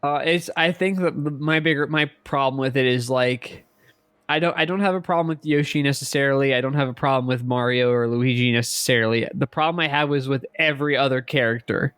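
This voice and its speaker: A man's voice: mid-range voice, a little fast, fairly bright tone, smooth, no echo, clean studio sound. A man co-hosting a podcast, chatting casually about games in an informal, conversational way. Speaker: podcast co-host. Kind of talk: casual gaming chat.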